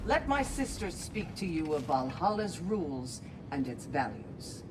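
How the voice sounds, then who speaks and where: A woman playing a formal, commanding valkyrie leader in a video game, speaking with measured, instructive authority. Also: deep voice, big pitch swings, noisy background. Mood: brisk, commanding. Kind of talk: dramatic video game acting.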